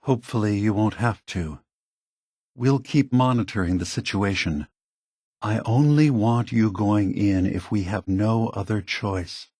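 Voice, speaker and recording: An ancient synthetic being who leads with gentle, weary idealism in a video game. The voice is husky and takes its time, halting and choosing words with earnest care. It is recorded very dry and close. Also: male voice, on the low side, no echo. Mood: reassuring, earnest. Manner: measured, halting, earnest, diplomatic. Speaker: leader of a synth refuge. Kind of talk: video game character dialogue.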